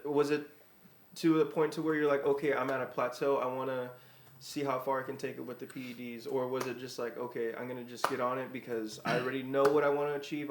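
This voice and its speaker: A man in his late twenties talks in a reflective, unhurried way, pressing his co-hosts with follow-up questions. His pitch is ordinary middle. The recording is echoey. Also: slight background noise. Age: 20s. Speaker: podcast co-host. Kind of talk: casual podcast chat.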